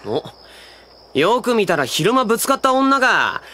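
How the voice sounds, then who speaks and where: A woman playing a rough teenage delinquent boy in an anime audio drama, talking in a blunt, coarse, slangy way. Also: on the high side, big pitch swings, measured pace, sharp tone, a little hiss or hum, exaggerated voice. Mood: gruff, casual. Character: rough, gruff teenage boy delinquent, brash but casual.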